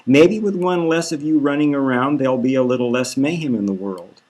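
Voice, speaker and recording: A man reading his own dark satirical novel aloud as a dramatic first-person narrator, in a brooding, halting manner. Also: medium pitch. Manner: brooding, halting delivery with rhetorical questions.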